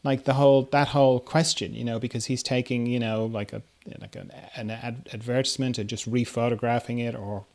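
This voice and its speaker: A man interviewing in a casual, conversational way, halting and circling as he works toward his question. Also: mid-range voice, fairly even intonation, vocal fry, darkish tone.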